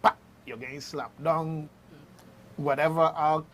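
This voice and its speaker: A man hosting a radio discussion, conversational and halting, often repeating phrases as he goes. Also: a little high, steady tone, fairly bright tone, a little hiss or hum. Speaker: radio host. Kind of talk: unscripted discussion and commentary. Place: radio studio. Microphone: studio microphone.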